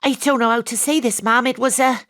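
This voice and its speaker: A woman playing a brisk, tongue-in-cheek private detective with a Welsh accent, acting and narrating in a comic audio drama. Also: on the high side, fairly even intonation, a bit bright, dry recording, clean studio sound, lightly acted. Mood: baffled.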